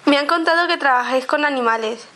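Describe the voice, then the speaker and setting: A woman's voice: sits high, fast, bright, forceful tone. A woman hosting a radio interview, asking short, friendly questions.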